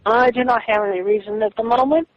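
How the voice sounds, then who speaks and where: A man on a phone call, arguing defensively in a halting, repetitive way. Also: extremely high pitch, fairly even intonation. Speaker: webcomic artist. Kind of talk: phone argument. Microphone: telephone.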